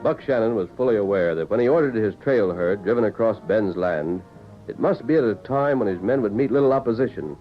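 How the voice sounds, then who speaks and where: A man's voice: on the low side, lively intonation, a little fast. A man delivering dramatic scripted narration in the style of a 1940s radio western. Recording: muffled 1940s radio recording with music.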